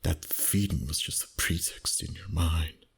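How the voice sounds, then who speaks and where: A man voicing a dominant, flirty vampire boss in an intimate ASMR roleplay, teasing slowly in a husky voice that often drops to a whisper. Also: a bass voice, steady tone, heavily acted. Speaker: voice actor. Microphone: close microphone.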